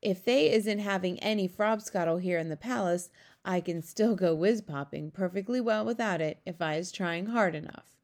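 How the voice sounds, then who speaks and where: A woman voicing a big, friendly, childlike male giant who exclaims excitedly in garbled grammar. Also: lowish voice, moderate pace, natural voice. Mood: gleeful. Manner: garbled ungrammatical speech, exclamatory.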